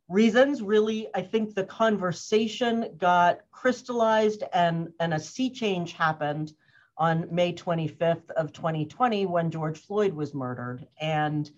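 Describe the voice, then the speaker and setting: A woman in her fifties from the United States speaks as an interview guest, earnest and thoughtful, often laughing and pausing on fillers. Her voice sits fairly low. The audio is dull and lo-fi. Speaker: guest, organizational wellness consultant. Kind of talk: conversational interview answers. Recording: muffled lo-fi audio.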